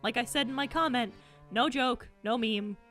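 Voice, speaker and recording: Female commentary host, high voice, blunt and sarcastic, swears freely, music faintly behind. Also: normal speed, a bit bright.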